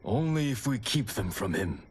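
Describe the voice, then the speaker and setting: A man playing a solemn, commanding guardian in a video game, with a stern, formal and measured delivery. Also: on the low side, sing-song, a little hiss or hum. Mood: grave. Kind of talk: video game cutscene acting. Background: cinematic music.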